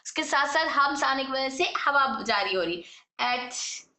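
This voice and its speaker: A woman's voice: high voice, lively intonation, measured pace, sharp tone, echoey room. A woman teaching a recitation lesson, patiently repeating each sound and phrase. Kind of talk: tajweed lesson.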